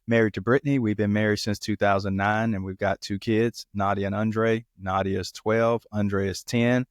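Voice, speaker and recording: Flat-voiced preacher telling his story. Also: lowish voice, normal speed, dry recording, studio quality. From Florida. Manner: relaxed storytelling, repeats himself. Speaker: preacher, guest. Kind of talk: casual interview over video call.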